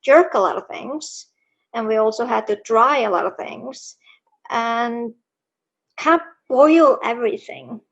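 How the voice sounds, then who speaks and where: A woman from Iceland speaks with an accent mixing Icelandic, American and European sounds. Her higher voice carries a gravelly fry, and she chats in a relaxed way, laughing often. The online call audio sounds dull and lo-fi. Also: slow pace. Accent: mixed Icelandic, American and European. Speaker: guest author. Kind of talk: casual interview chat.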